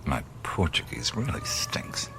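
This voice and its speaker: An actor playing a wry, easily rattled American adventurer in a radio play, halting and hesitant in his speech. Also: sharp tone, slight background noise. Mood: hesitant, polite.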